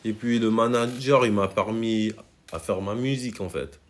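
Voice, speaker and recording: A man's voice: fairly low pitch, moderate pace. A man from Congo, a rapper guest, talking in a laid-back, slangy way and often saying "you see". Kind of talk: casual interview chat.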